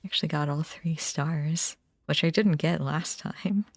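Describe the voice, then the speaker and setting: Casting: livestream gamer, mid-pitched voice of ambiguous gender, unhurried, casual self-talk in short bursts over game music. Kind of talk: casual gameplay commentary. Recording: music behind the voice.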